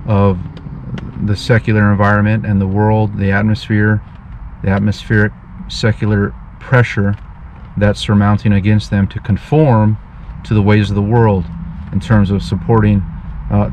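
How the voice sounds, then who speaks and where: A man's voice: lowish voice, lo-fi sound, background noise. Earnest preacher man vlogging in a car. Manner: slow, earnest, pious, slightly formal. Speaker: street preacher. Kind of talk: casual vlog with preaching asides. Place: parked car, indoors. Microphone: dash camera in front of him.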